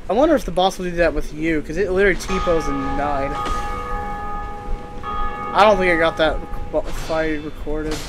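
A man's voice: a little high, moderate pace, long pauses, over music. A young adult man chatting casually while gaming, with slangy, swearing talk. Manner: profane, slangy. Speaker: gamer playing co-op.

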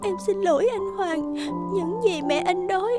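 A woman's voice: very high-pitched, moderate pace, loud music behind the voice. A woman playing a timid, polite young woman in a cartoon, hesitant and earnest. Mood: apologetic, pleading. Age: young adult. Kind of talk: Vietnamese cartoon dialogue.

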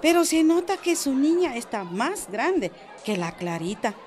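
Animated Guatemalan village grandmother. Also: high-pitched, unhurried, a faint crowd. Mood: curious, concerned. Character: chatty, cautious village grandmother, mother-in-law. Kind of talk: radio soap opera acting.

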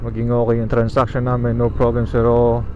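A young man with a Filipino accent, chatting casually as a motovlogger while riding his motorcycle outdoors, relaxed and chatty. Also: medium pitch, a little fast, narrow, tinny audio, background noise. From Philippines. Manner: relaxed, chatty, chuckles now and then. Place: outdoors on a motorcycle, city streets at dusk. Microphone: phone used as a sound recorder.

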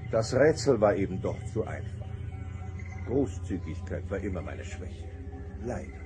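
An actor playing a smug, mocking, all-powerful alien trickster in a radio play, with a theatrical, taunting delivery. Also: male voice, low voice, big pitch swings, unhurried, music in the background.

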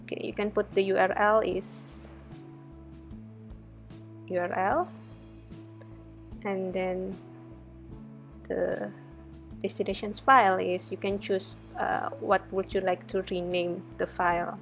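Slow, chatty young woman from Indonesia. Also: mid-range voice, flat delivery, vocal fry, fairly bright tone, faint music behind. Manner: slow, chatty, many fillers. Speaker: research fellow teaching data analysis. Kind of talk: screen-recorded coding tutorial.

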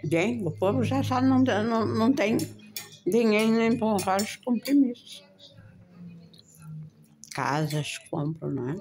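An older woman with a European Portuguese accent chats slowly in a creaky voice. She rambles fondly to her viewers from the dining table, with faint clinks of cutlery and dishes around her. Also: medium pitch, lively intonation, a little mellow. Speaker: home vlogger. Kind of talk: casual chat to viewers at the table. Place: home dining room, indoors. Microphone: handheld phone. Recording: handheld phone, clinking cutlery noise.

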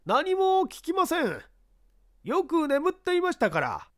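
A plain-spoken witness played by a male reader in a mystery story. The voice is strikingly high and very animated in pitch. The manner is polite, helpful and explanatory. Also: measured pace, heavily acted. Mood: matter-of-fact. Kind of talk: dramatized mystery story reading.

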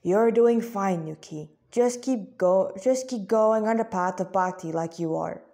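A woman's voice: medium pitch, unhurried, dark tone, natural voice. A woman voicing a compassionate, devout male spiritual teacher in a story, encouraging and given to reciting.

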